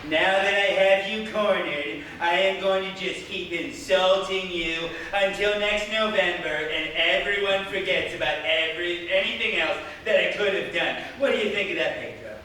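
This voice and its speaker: A man's voice: high voice, normal speed, hardly any pauses, bright, forceful tone. A man playing a pompous, bullying, self-styled monster-hunting hero in live radio comedy theatre, with a declamatory delivery. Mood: pompous bravado. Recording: echoey live theatre, distant mic, noisy.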